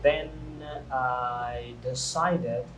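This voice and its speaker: A man's voice: mid-range voice, fairly even intonation, drawn-out words, fairly bright tone. A young man in his twenties, a native Portuguese speaker, answering interview questions in an earnest, hesitant way with frequent restarts. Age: 20s. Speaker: guest. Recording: echoey room, faint noise.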